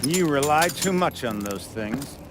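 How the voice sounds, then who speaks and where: An actor playing a ruthless, self-righteous villain leader in a video game, menacing and grandiose. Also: male voice, mid-range voice, lively intonation, measured pace, noisy background, lightly acted. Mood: grandiose, defiant. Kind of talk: dramatic video game acting. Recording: clear music under the voice.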